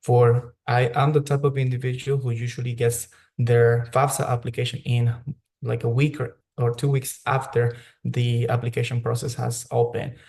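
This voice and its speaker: Young man, earnest, gravelly voice, mellow tone, personal testimony, remote call. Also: medium pitch, little pitch movement, normal speed. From Mexico (grew up there). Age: young adult. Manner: earnest, personal, some restarts. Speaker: student leader speaking at a briefing. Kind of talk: personal testimony at a news briefing.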